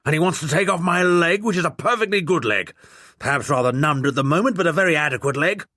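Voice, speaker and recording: A man's voice: a little high, quick pace, sharp tone, dry recording, studio quality, pitched above the performer's own voice. A man reading aloud voices a blustering, bullying old English lord who barks commands and takes offense. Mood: outraged. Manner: imperious, indignant, commanding.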